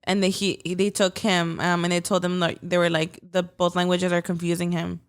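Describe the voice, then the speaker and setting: A woman's voice: fairly low pitch, level tone, moderate pace. A young woman co-hosting a casual podcast, easygoing and full of "like", with Spanish as her first language. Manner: easygoing, heavy use of "like", many backchannels. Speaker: podcast co-host. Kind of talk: casual chat.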